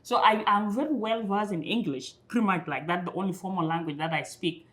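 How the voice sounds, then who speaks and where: A young woman from Kenya telling stories in a relaxed conversation, repeating words as she starts and laughing along. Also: mid-range voice, brisk. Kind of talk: conversational storytelling.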